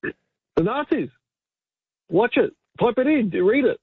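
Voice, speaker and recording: An excitable man with a high, husky voice, calling a talk radio show and bantering insistently, often repeating himself. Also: slow pace, no echo. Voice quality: high-pitched voice. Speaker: talk radio phone-in caller. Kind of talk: animated banter and argument. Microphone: phone call. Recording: tinny narrow phone line.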